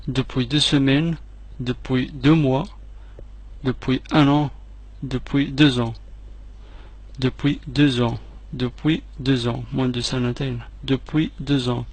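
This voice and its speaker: A man teaching French phrases, repeating each one slowly and clearly, with quick asides in between. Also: medium pitch. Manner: slow, clearly separated repetitions, quick asides in another language. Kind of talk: repeat-after-me language drill.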